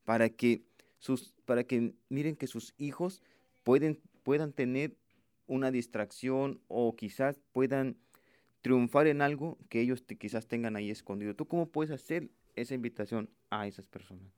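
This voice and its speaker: A man's voice: mid-range voice, normal speed, a little mellow, smooth, dry recording, clean studio sound. A male radio host interviewing a guest, asking long, winding questions with restarts.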